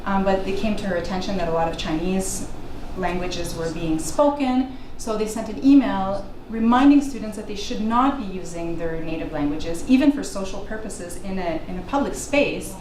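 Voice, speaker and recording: A middle-aged woman, French her first language, lectures with earnest, self-correcting restarts. Her voice sits at an ordinary pitch and is picked up from a distance in a reverberant room. A steady noise runs under the recording. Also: moderate pace, few pauses. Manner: earnest, frequent small restarts. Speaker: guest lecturer. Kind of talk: academic talk to an audience. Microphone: room mic at a distance. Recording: echoey room from far off, noisy.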